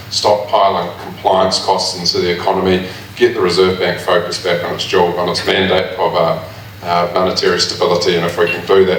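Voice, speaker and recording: A man, an election candidate answering in a measured way with frequent hesitations. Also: deep voice, a bit bright, a little hiss or hum. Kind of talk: public candidate forum answer. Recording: echoey hall from far off.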